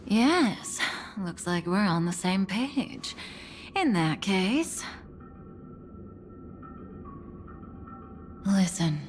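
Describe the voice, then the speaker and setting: An actress playing a calm, enigmatic woman in a video game, speaking in a measured, deliberate way. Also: medium pitch, soft background music. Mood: calm, knowing. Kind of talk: scripted video game voice acting.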